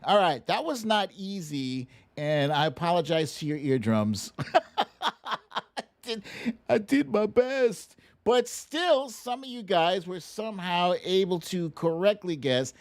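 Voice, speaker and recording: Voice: mid-range voice, very animated, slow. An American livestream host chatting casually and reading out viewer names in a playful, self-deprecating way during a song guessing game.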